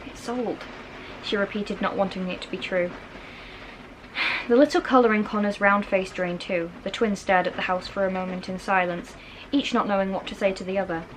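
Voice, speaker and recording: A woman's voice: medium pitch, steady tone, fairly quick. A woman reading a children's novel aloud as a storybook narrator. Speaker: storybook reader. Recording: noisy, rain in the background. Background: rain.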